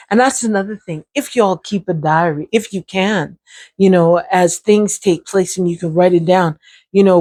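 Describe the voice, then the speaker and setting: A woman speaks straight to her viewers in a loose, chatty way and laughs easily. Her voice sits low and a little hoarse. The sound is close and dry, with no room around it. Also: a little mellow, no echo. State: voice getting sore and hoarse. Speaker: channel host sharing her brain injury experience. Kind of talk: casual talk to camera.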